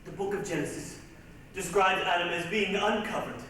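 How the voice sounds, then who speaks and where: An actor plays a proud, insolent Renaissance painter in a stage reading. The voice is high for a man and bright, declaiming defiantly. It echoes heavily around a hall. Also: steady tone, normal speed, distant mic. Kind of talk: stage reader's theatre acting. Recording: echoey hall from afar, hissy 1980s recording.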